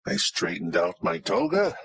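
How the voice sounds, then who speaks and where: A man playing a hardboiled, wisecracking detective of ancient Rome in an old-time radio parody, with deadpan tough-guy delivery. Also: sits low, normal speed, slightly rough, dry recording, exaggerated voice. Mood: wry.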